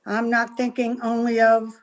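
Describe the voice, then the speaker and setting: A woman playing a scheming, ambitious young farm girl in an old-time radio melodrama, taunting and deliberate. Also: on the high side, completely flat delivery, highs cut off, close to an everyday voice. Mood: sly, insinuating. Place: remote recording over Zoom.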